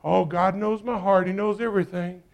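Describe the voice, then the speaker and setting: A pastor preaching a sermon, swinging between loud urgent peaks and low quiet asides. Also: male voice, steady tone, normal speed, gravelly.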